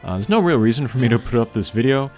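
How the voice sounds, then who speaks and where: A man giving casual, unscripted gameplay commentary in a rambling, low-key way with frequent hesitant pauses. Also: lively intonation, darkish tone. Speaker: game video creator. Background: video game music.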